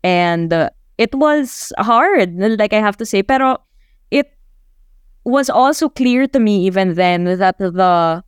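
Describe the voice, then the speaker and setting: A woman's voice: medium pitch, lively intonation, takes it slowly, clean tone, no echo, clean studio sound. A woman in her twenties with a Filipino accent, answering interview questions as a journalist in a reflective, conversational way, sometimes mixing Tagalog into English. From Philippines. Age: 20s.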